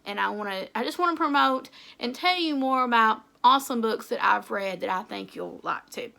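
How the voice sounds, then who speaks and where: Appalachian woman book vlogger, young, bright tense voice with fry, chatty and self-conscious. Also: a little high, lively intonation, moderate pace. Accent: Appalachian English. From Tennessee. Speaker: author and book YouTuber. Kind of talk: casual vlog chat to camera.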